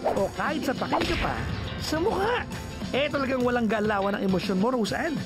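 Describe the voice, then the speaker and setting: Lively, teasing woman narrator played by actress. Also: a little high, a little fast, over music, lightly acted. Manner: teasing, dramatic storytelling. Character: teasing, gossipy woman narrating a friend's loveless life. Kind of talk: comic film narration.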